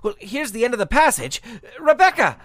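An actor playing an earnest, plucky young amateur detective in a comic radio mystery, speaking emphatically. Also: male voice, extremely high pitch, huge pitch swings, a little fast, a bit bright, no room sound, exaggerated voice. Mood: alarmed.

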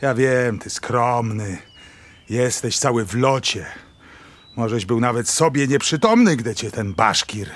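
An actor playing a jovial, tactless count in a theatrical verse radio drama, mocking and pompous. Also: male voice, medium pitch, expressive, measured pace. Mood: patronizing. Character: jovial, teasing, tactless old-world count.

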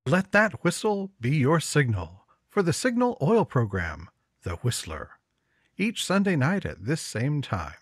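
A man's voice: a little high, very animated, slightly rough, no echo, studio quality. A man reading formal closing credits and sign-off lines as a 1950s radio announcer. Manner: formal, measured.

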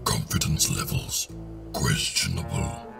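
Gravelly, booming-low rhino alien officer. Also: male voice, animated, slow pace, over music, full character voice. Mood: commanding. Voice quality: very deep, gravelly growl. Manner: clipped, stilted, commanding speech. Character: gruff Judoon alien, rhino-headed space police officer, blunt and imperious. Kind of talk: audio drama acting.

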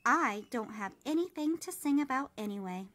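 A woman's voice: sits high, expressive, normal speed, a bit bright, voice pushed up. A woman voicing a small, sulky, self-doubting young male cricket in a storybook, plaintive and defiant.

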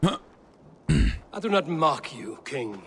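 An actor playing an earnest, stubborn Viking warrior in a video game. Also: male voice, mid-range voice, huge pitch swings, drawn-out words, fairly bright tone, a little hiss or hum, exaggerated voice. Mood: defiant. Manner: earnest, defiant. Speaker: game protagonist.

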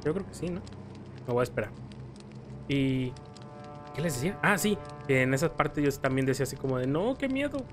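A man's voice: mid-range voice, big pitch swings, brisk, pauses often. A man with a Mexican accent doing casual, joking commentary over a video game playthrough. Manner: joking, playful exclamations. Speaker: gaming video creator. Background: video game music.

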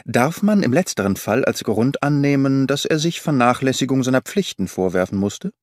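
A man reading the part of a calm, analytical detective in an audiobook, measured and probing, dry recording. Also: medium pitch, no echo, lightly acted. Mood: musing.

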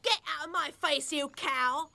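A woman's voice: sits high, unhurried, bright, forceful tone, exaggerated voice. An actress playing a prim, bookish, spirited teenage girl. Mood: bossy.

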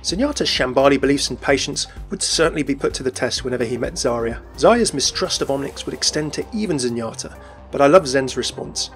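Man, video narrator, brisk pace, scripted, explanatory, medium pitch, light background music. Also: animated. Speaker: video presenter.

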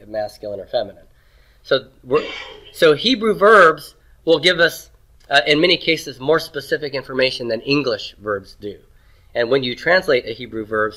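A man's voice: medium pitch, fairly bright tone, poor audio. A man teaching a Hebrew grammar class in a classroom, explaining patiently in a conversational way. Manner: explanatory, conversational, occasional throat clearing. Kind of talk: classroom lecture. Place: classroom in Texas.

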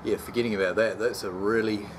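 A man in his forties hosting a casual homebrew beer review vlog from a sunny backyard patio, chatty and relaxed with many pauses. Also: on the low side. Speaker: homebrew channel host. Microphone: camera mic at close range, later handheld while walking. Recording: outdoor background noise.

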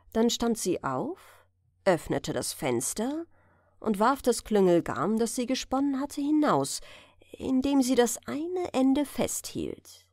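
A woman narrating a scripted fairy tale as a bedtime audiobook, expressive and carefully phrased. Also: mid-range voice, no echo, clean studio sound.

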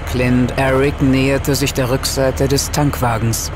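An actor narrating a German horror audio drama in a measured, suspenseful, scripted style. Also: male voice, medium pitch, level tone, noisy background, close to an everyday voice. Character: male narrator of a horror tale.

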